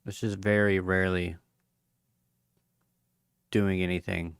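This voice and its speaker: Sleepy guy with a lowish gravelly voice, rambling sign-off, kinda hoarse, dry close mic. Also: little pitch movement, no room sound. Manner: rambling, halting, many fillers. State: tired, yawning; voice going hoarse. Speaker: crypto livestream host. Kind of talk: casual livestream sign-off chat.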